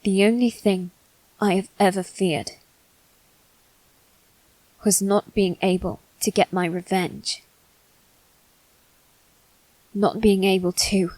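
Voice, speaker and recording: A haughty, brooding young aristocrat, voiced by a woman in an ASMR roleplay drama. The delivery is slow and formal, with weighty pauses between phrases. The sound is dry and close, with no room around it. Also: medium pitch, darkish tone, no echo, rough recording. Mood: defiant. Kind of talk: dramatic ASMR roleplay monologue addressed to listener.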